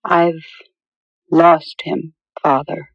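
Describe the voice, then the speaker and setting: An actress playing a weakened, wounded young fiancée in a radio drama, pained and restrained. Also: female voice, sits low, flat delivery, extremely slow, very noisy, full character voice. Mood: resigned hurt. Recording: dull lo-fi old radio recording.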